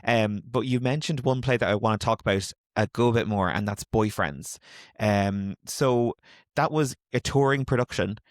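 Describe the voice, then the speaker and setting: A man's voice: lowish voice, normal speed, no echo, studio quality. A man hosting a casual, conversational interview. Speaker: interviewer, podcast host.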